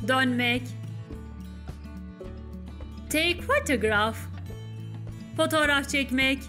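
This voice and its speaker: A woman's voice: high-pitched, lively intonation, extremely slow, pushed and bright, faint music behind. A Turkish woman narrating a scripted English lesson as a teacher, with careful, instructive enunciation.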